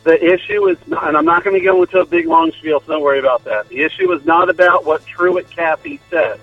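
Animated South Jersey man on tinny phone line. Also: on the high side, fairly quick, gravelly, dry recording, soft background music. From South Jersey, United States. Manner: emphatic, explanatory. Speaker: listener calling in. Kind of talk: casual opinionated voicemail. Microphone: telephone. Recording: tinny phone voicemail, narrow band.

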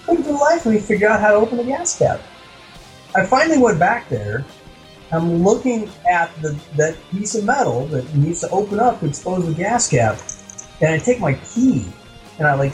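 A man's voice: fairly high pitch, darkish tone, low-quality recording. A man co-hosting a podcast, telling casual comic anecdotes in a wry, exasperated, mildly profane way. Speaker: podcast co-host.

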